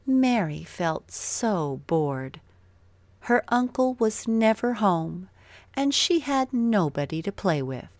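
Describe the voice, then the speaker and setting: A woman narrating a scripted children's story in an expressive, deliberate storytelling manner. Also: medium pitch, no echo.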